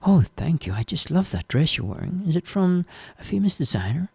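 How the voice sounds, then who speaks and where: A man voicing Jane, a bold young woman who sells cars, with a high, eager manner. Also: big pitch swings, a little fast, creaky, darkish tone, breathy edge, no echo, full character voice. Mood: sweet-talking. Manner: chirpy, eager, pitched up high.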